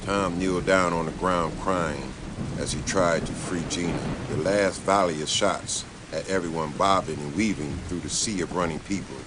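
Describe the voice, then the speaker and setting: A man's voice: low voice, fairly even intonation, normal speed, background noise. A man giving a dramatic scripted reading as an audiobook narrator.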